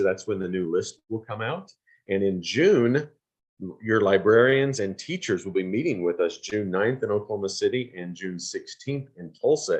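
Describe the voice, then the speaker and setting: A man hosting an informal online award event, reading out questions and giving thanks. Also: fairly low pitch.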